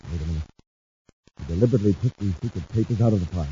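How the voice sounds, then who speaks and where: A man's voice: sits low, very fast, warm tone, a little hiss or hum, natural voice. An American actor playing a stern, irritable businessman and older brother in a 1950s radio drama, curt and indignant. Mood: alarmed, angry. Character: stern, scowling, irritable interplanetary junk tycoon, older brother. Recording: dull old radio recording.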